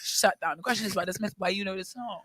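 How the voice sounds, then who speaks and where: Bright-voiced British woman co-host. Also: medium pitch, fast talker, slightly rough, no room sound, studio quality. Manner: reads listener comments aloud, wry. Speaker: radio show co-host. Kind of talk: casual chat. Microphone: studio microphone.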